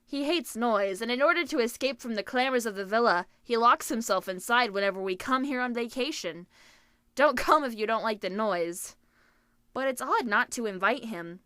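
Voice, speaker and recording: A woman's voice: fairly high pitch, animated, normal speed, sharp tone, clear, resonant tone, dry recording, studio quality. A woman playing a boisterous, cheerful teenage rich girl in an audio drama, breezy and exclamatory.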